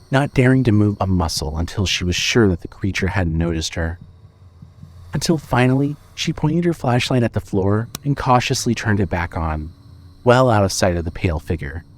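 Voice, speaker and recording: A man narrating a scripted horror audio drama in a measured, deliberate storytelling manner. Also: fairly low pitch.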